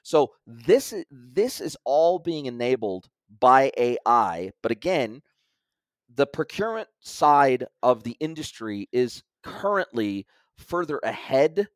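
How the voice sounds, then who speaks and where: A man in a casual interview who explains technical ideas at length, often restarting his sentences as he thinks aloud. His voice sits at an ordinary middle pitch. The sound is dry and close, with no room around it. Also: big pitch swings, takes it slowly, dry recording. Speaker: guest expert. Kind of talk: conversational interview.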